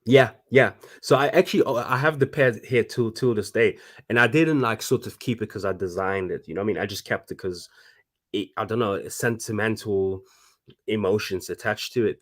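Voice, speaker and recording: Guy in his 30s, low voice, designer chatting, keeps restarting lines. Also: normal speed. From Congo (DRC). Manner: animated, with frequent restarts. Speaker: guest, fashion designer. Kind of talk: casual conversation.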